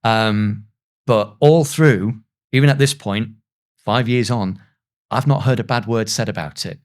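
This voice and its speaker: A man speaking reflectively as an interview guest, earnest and self-deprecating, with halting pauses as he gathers his thoughts. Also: lowish voice. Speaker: voice actor, podcast guest.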